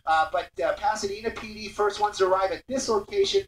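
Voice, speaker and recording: High-pitched man reporting live over roomy link. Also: huge pitch swings, fast. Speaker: helicopter news reporter. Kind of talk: live breaking-news commentary. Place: airborne news helicopter. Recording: roomy, distant airborne radio link, noisy.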